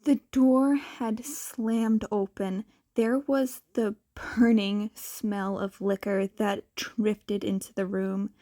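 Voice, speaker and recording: A woman's voice: mid-range voice, steady tone, slow, few pauses, mellow, no echo, clean studio sound. A woman narrating fan fiction as a scripted story reading.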